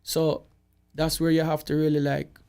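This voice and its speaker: A Jamaican man talking with a somewhat high but mellow, soft-edged voice. He is an interview guest who speaks casually and with animation. He drifts into patois as he explains things. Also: moderate pace, vocal fry, smooth. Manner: animated, slips into patois, acts out quoted voices. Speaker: guest songwriter and producer.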